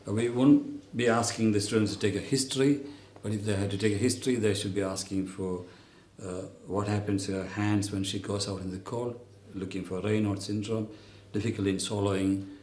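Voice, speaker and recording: A man speaking as a doctor, giving an unscripted clinical teaching demonstration in a hesitant, explanatory way with frequent pauses. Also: on the low side, darkish tone. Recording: roomy echo, faint background noise.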